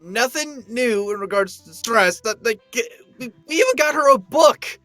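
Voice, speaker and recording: Animated man chatting on a game stream. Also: very high voice, normal speed, dry recording. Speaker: co-player on a visual novel livestream. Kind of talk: casual chat. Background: game music.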